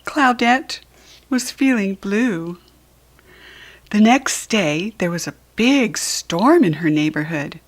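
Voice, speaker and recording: Slow, very lively American woman reading a storybook. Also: mid-range voice. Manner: expressive, deliberate teaching delivery with asides. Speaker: storybook reader. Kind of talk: read-aloud children's picture book narration.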